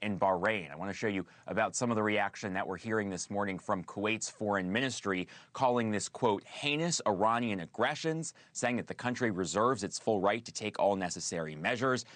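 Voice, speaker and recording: Low-voiced American male news correspondent. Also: fairly quick, few pauses, fairly bright tone, dry recording. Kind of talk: live news report.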